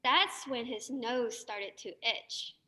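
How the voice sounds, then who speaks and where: A woman's voice rings with long reverb as she reads a scripted story. She is high-pitched and sharp in tone, reading as a stage narrator. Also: takes it slowly. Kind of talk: scripted reader's theater storytelling. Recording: long echoing hall reverb.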